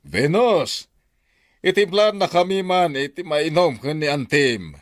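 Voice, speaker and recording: A man playing a stern but fair uncle in a radio drama, admonishing and expressive, with an Ilocano Filipino accent. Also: fairly high pitch, a little slow. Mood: demanding. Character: stern, fair-minded uncle, middle-aged head of household.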